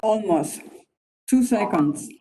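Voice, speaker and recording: Slow, mellow woman chairing a hearing. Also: mid-range voice, lively intonation, slight background noise. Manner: courteous, brief procedural remarks. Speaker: committee chair running the hearing.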